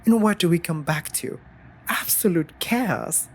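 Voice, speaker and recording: A woman's voice: fairly low pitch, lively intonation, measured pace, a little mellow, slightly rough. A woman voicing a sassy, exasperated but devoted raven familiar in a fantasy audio drama, scolding theatrically in formal phrasing. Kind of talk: scripted roleplay audio drama addressed to listener.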